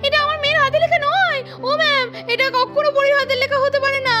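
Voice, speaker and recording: A woman tells a cartoon story in a very high voice and at a brisk pace, voicing several characters and scolding sharply. Music plays steadily under her voice. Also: steady tone. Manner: scolding, shifts between narration and character voices.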